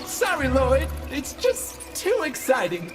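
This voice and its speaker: Casting: a small, cheeky game robot, chatty and childlike, with hugely animated delivery over loud music. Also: male voice, very high voice, slow, exaggerated voice. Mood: excited. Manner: chirpy, irreverent, chatty. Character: cheeky, excitable, childlike little robot sidekick. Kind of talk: video game character acting.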